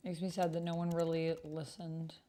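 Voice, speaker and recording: Woman streamer w/ lowish voice, chatty n casual, keyboard typing behind her. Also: level tone, moderate pace, mellow. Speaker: streamer hosting an actual play. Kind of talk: casual livestream chat.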